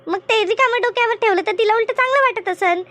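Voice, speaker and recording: A woman voicing a gossipy, teasing village woman in a comedy cartoon, with an expressive, complaining way of talking. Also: very high-pitched, quick pace, no room sound. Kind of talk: colloquial comedy cartoon dialogue.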